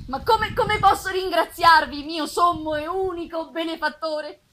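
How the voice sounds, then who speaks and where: A woman's voice: extremely high pitch, pushed and bright, full character voice. A woman voicing an anguished, vengeful outcast male monster in an impassioned, theatrical gothic reading. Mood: grateful, pleading. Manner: impassioned, theatrical, drawn-out emphasis. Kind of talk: dramatic gothic novel reading. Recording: live festival recording with background noise.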